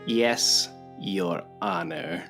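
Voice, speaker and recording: A man's voice: medium pitch, very animated, very slow, gravelly, a bit bright, soft background music, full character voice. A man playing a smirking, folksy, remorseless killer in a radio play, drawling and mocking as he savors his words. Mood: smug mockery.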